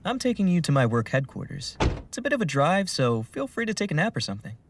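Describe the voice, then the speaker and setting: A man's voice: on the high side, big pitch swings, fast, noisy background. A young man playing a reassuring, polite agent in his mid-twenties in an audio drama. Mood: calm, reassuring.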